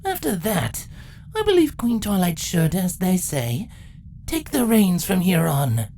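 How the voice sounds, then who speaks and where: A man puts on a regal female changeling ruler from a fantasy audio story. The voice is pitched well above his own and swings widely. The queen speaks formally and coolly, with measured authority. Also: very animated, a little mellow, full character voice. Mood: contemplative, formal. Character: cold, regal changeling queen heading a council, composed, formal.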